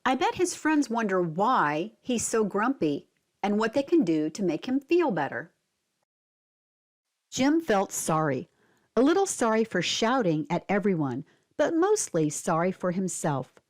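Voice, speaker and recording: Slow, very expressive woman reading to children. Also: medium pitch. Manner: simple, explanatory, addressing young listeners. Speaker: read-aloud narrator. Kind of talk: children's picture book read-aloud with guiding questions.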